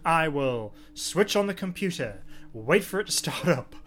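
A man's voice: fairly high pitch, a little slow. A man chatting casually on a podcast, complaining sarcastically about a TV episode. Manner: exasperated, sarcastic, animated complaining.

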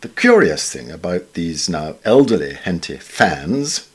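A British man reading a scripted literary essay in a formal, measured, wryly understated way. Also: low voice, big pitch swings, breathy edge. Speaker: reader of newspaper essays.

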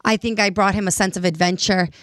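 A woman's voice: mid-range voice, fairly even intonation, fast, a bit bright, dry recording. Dry-voiced woman in her twenties. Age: 20s. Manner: earnest, searching for words, many restarts. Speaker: podcast co-host. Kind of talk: casual chat.

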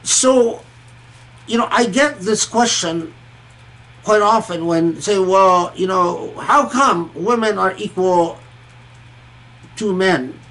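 A man from Egypt and Kuwait giving an informal scholarly commentary on scripture, thoughtful and halting, often repeating words and pausing. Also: high-pitched, a bit bright, poor audio.

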